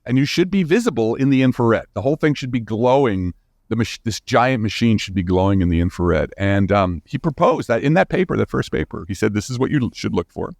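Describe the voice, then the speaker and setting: Animated man explaining science, very lively pitch. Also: a little fast, gravelly, no room sound, studio quality. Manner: emphatic, informal, thinking aloud. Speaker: guest scientist. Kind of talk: explanatory interview conversation.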